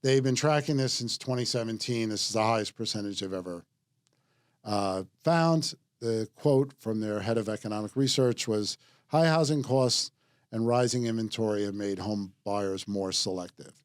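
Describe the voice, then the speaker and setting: A man's voice: lowish voice, moderate pace. A man hosting a real estate podcast in a casual panel talk, joking easily and reading out market figures. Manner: joking, easygoing, reads out news figures. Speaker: podcast host.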